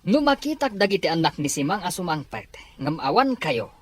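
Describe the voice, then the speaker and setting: A man's voice: high voice, sing-song, moderate pace. A man playing a stern, hard-hearted farming father in a radio drama, blunt and domineering with an Ilocano accent. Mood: stern warning.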